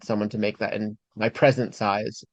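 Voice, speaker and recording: Man in his forties, podcast host, chatty, animated, dull lo-fi sound, dry. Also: fairly low pitch, normal speed, no room sound. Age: 40s. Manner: animated, chatty, laughs easily. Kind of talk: casual interview chat.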